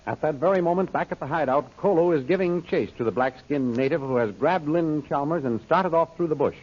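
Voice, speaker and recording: High-voiced male vintage radio serial narrator. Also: a little fast. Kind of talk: dramatic old-time radio serial narration. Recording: dull, faintly noisy old radio recording.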